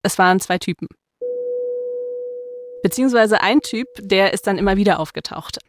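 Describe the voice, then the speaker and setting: A woman's voice: mid-range voice, moderate pace, a little hiss or hum. A woman speaking as a journalist guest, explaining findings in casual conversation.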